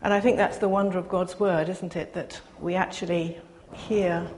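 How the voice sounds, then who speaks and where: An older woman giving a soft-spoken, reflective personal sermon to her congregation, pausing often as she speaks. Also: fairly low pitch, fairly even intonation. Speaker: preacher.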